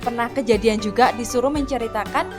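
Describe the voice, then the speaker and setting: A woman's voice: a little high, fairly even intonation, brisk, music in the background. A woman in a casual chat, explaining thoughtfully and listing things one by one.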